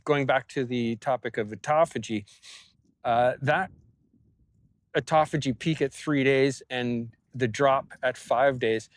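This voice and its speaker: A man talking casually to camera in a personal vlog outdoors on a farm, halting and reflective, with phrases that trail off. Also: mid-range voice, dry recording. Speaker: vlogger.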